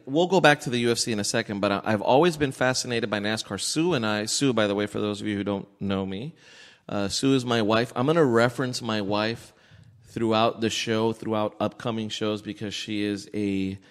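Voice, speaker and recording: American man chatting in a very echoey room. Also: lowish voice. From Miami area, United States. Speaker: podcast co-host. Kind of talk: casual opinionated chat. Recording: echoey room with long reverb.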